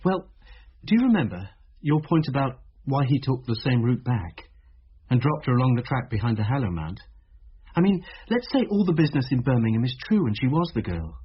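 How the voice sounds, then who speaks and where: A man's voice: mid-range voice, very animated, fairly quick, breathy edge. An actor playing a thoughtful, inquisitive man helping a detective in a radio drama, reasoning things out with questions. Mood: curious, reasoning. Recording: dull, faintly noisy radio drama recording.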